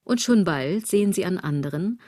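A woman's voice: fairly low pitch, animated, normal speed, dark tone, no room sound, studio quality. An actress playing a sardonic, aging woman in a literary radio drama, speaking in an ironic, declamatory way. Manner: ironic, declamatory, wordplay-laden delivery. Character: sardonic aging woman musing on fashion and consumerism.

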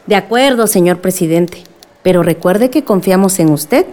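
A woman's voice: on the low side, expressive, moderate pace, a little mellow, lightly acted. A woman playing an insistent, respectful village activist in a radio drama. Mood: firm. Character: firm, polite village woman activist questioning authority.

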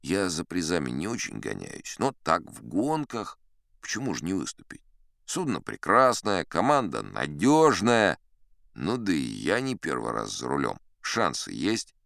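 Boastful old sea captain telling tall tales, smooth low voice, theatrical audiobook style. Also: male voice, huge pitch swings, unhurried, fairly bright tone, no echo, studio quality, exaggerated voice. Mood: relaxed, confiding. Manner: self-satisfied, conversational raconteur. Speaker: audiobook narrator in character. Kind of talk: theatrical first-person storybook narration.

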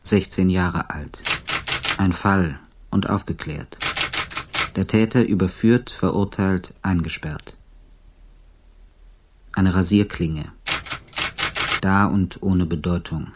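A sombre male investigator in a radio play. His voice is very deep and unhurried, delivering clipped, deadpan fragments. Noise and typewriter-like clicks sit clearly behind him. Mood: detached, sombre. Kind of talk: German radio drama monologue.

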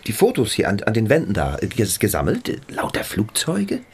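An actor playing an awkward, nosy small-town newspaper reporter in a radio play, stammering and over-polite. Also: male voice, fairly low pitch, huge pitch swings, brisk, a little hiss or hum. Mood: awkward, probing. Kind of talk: German radio play acting.

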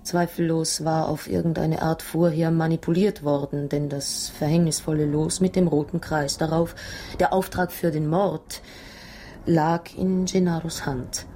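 An actress in a German radio drama playing an anguished wife who tells her story in a dramatic, halting way. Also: sits low, little pitch movement, mellow, a little hiss or hum. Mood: haunted, grave. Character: anguished Italian wife recounting a murderous secret society's threat.

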